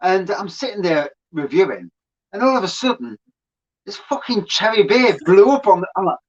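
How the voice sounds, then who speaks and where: A man's voice: sits high, big pitch swings, moderate pace, lo-fi sound. A man, a beer reviewer, chatting casually as a guest on a stream, swearing freely and laughing as he tells stories.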